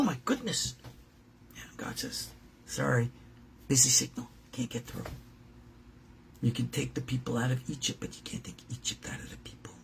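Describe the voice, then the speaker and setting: A man speaking as a rabbi in an informal religious lesson, preaching bluntly and emphatically with a gravelly voice and acting out little dialogues. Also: medium pitch, fairly bright tone, slight background noise. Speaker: rabbi and teacher at a Torah school.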